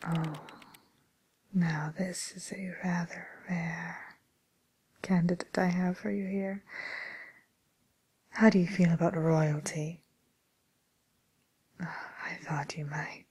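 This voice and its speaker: A polite, tactful matchmaker at a fairy-tale villains' dating service, played by a woman in an ASMR role play. She speaks in a very breathy whisper, slowly and fairly low for a woman. Her phrasing is diplomatic and hesitant, with gentle pauses. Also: fairly even intonation, full character voice, rough recording. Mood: tactful, gently amused.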